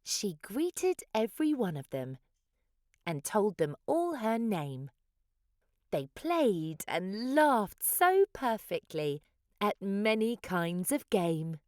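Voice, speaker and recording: A woman's voice: mid-range voice, big pitch swings, slow, a little mellow, no echo, studio quality. A woman reading a children's picture book aloud in a dramatic, sing-song rhyming delivery. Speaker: children's story reader.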